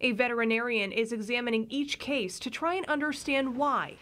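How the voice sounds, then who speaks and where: A woman's voice: a little high, brisk, pushed and bright. Woman reporter reading a news story. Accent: American. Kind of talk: scripted news narration.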